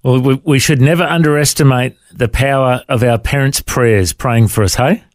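A man's voice: medium pitch, moderate pace, no room sound, clean studio sound. Man hosting an interview. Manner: friendly, encouraging questions. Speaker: podcast host. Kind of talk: casual interview.

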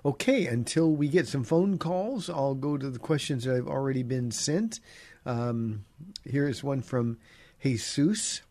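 A man hosting a call-in radio show as a pastor, giving Bible teaching in a relaxed, conversational way with frequent fillers. His voice sits deeper than usual because he is under the weather. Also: a little slow. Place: radio studio.

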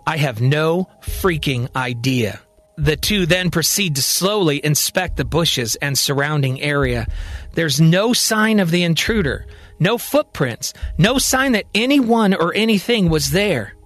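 High-voiced male storyteller narrating science fiction. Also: lively intonation, unhurried, a bit bright, dry recording.

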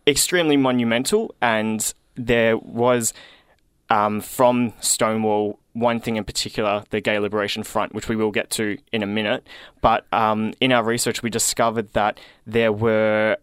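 A man in his twenties with an Australian accent hosting a community radio show in a studio, upbeat and quick. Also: fairly low pitch, level tone, clean tone, no room sound. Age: 20s. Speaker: radio host.

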